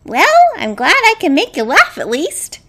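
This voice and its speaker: A tiny, plucky mouse from a children's puppet show, voiced by a woman. It speaks in a high squeak with animated, swooping intonation and eager earnestness. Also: moderate pace, full character voice. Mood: pleading, grateful.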